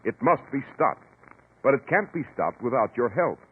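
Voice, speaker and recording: A man's voice: mid-range voice, sing-song, normal speed, breathy edge. A man with an American accent announcing in formal, emphatic 1940s scripted radio style. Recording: tinny 1940s broadcast over organ music. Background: loud-ish organ music.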